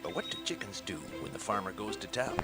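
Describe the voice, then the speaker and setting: A man acts as a folksy storyteller narrating a game cutscene. His low voice moves up and down expressively, with loud music playing over it. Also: expressive, quick pace, lightly acted. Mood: wry. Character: folksy male storyteller of a farmyard tale.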